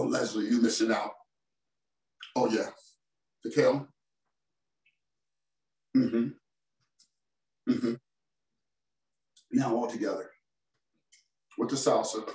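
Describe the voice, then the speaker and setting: A man's voice: mid-range voice, a little mellow. A man in his forties, a chef giving a casual, enthusiastic cooking demonstration in a home kitchen and talking straight to his viewers. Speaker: chef presenting a cooking demo. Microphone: far camera mic. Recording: roomy kitchen sound, mic at a distance.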